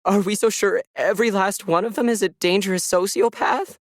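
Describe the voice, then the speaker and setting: Man voicing a pleading, high-pitched distraught woman. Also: steady tone, no echo, studio quality, lightly acted. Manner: pleading, halting, defensive. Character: distraught, pleading young woman under interrogation.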